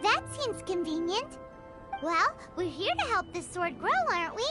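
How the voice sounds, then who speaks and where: A squeaky chirpy little companion. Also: female voice, very animated, moderate pace. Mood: curious. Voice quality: very high, sing-song. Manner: curious, teasing, exclamatory. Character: tiny chirpy floating companion girl, nosy. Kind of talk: video game dialogue. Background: game music.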